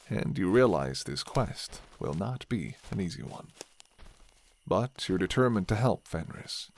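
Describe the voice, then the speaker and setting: A man narrating a scripted fantasy story in the second person, with measured, dramatic storytelling. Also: fairly low pitch, a little mellow.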